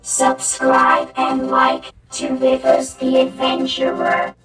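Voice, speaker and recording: A woman's high voice is run through a novelty voice changer. She delivers a channel promo extremely slowly, with faint musical tones in the processed sound. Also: completely flat delivery, a bit bright, echoey room, faint music behind. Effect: novelty voice-changer filters. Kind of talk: repeated channel promo line.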